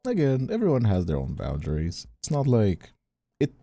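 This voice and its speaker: A man with a dark, mellow voice talks casually on a livestream over faint music. His pitch moves in lively swings as he rambles, stopping and repeating phrases while he works out what he means. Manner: rambling, halting, repeats himself. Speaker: streamer and content creator.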